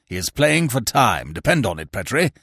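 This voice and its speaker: A man reading aloud voices a hot-tempered older gentleman who roars and talks emphatically. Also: medium pitch, big pitch swings, normal speed, creaky, dry recording, studio quality, full character voice. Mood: urgent. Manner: loud, emphatic, roaring.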